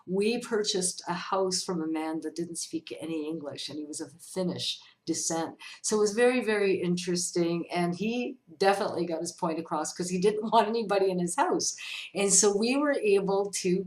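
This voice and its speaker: A woman with a fairly low voice talks casually to her viewers as the host of a question-and-answer vlog. She is chatty and expressive, addressing the listener directly as she explains things. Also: low-quality recording. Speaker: vlog host, psychic medium.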